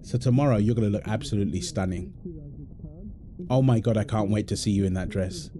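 A man's voice: lowish voice, brisk, background noise, close to an everyday voice. A man playing a playful, doting husband in an intimate audio roleplay, teasing and affectionate, full of exclamations. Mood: adoring. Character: playful, doting husband apologizing to his pregnant wife.

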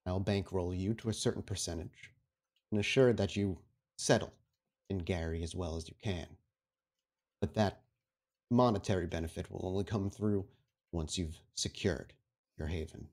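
A man playing a low-voiced, stern vampire prince. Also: darkish tone. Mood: formal, businesslike. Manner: formal, measured, authoritative. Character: stern, commanding vampire prince, formal and menacing. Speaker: game master. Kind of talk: tabletop roleplay acting.

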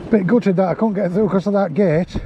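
A man's voice: sits high, fairly quick, muffled recording. A middle-aged man chatting casually as he vlogs a ride along the cliff tops, recorded on an action camera outdoors. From England. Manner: chatty, rambling, chuckles often. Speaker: vlogger. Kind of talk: casual vlog narration while riding. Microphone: action camera on handlebars and held near face. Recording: wind and outdoor noise.

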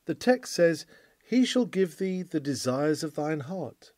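Male sermon reader: higher-pitched, mellow, oratorical, with emphatic pauses. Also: sing-song, no echo, studio quality. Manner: declamatory, formal, emphatic phrasing with deliberate pauses. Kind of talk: oratorical sermon read aloud.

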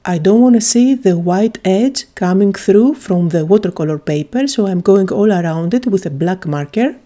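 A woman's voice: medium pitch, lively intonation, normal speed, warm tone. A woman from Greece, chattily and enthusiastically narrating a craft tutorial, with frequent little "uh" fillers.

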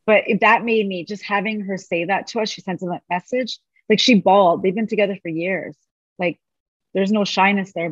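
A fast, animated woman talks as a podcast guest, her words tumbling out quickly. The sound is dry with no room around it, and a little dull and lo-fi. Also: mid-range voice, no echo. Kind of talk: casual conversation.

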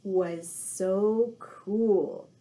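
A woman voicing a friendly, obliging male horse in a children's storybook, hearty and drawn-out. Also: mid-range voice, steady tone, dark tone, poor audio. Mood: cheerful, obliging.

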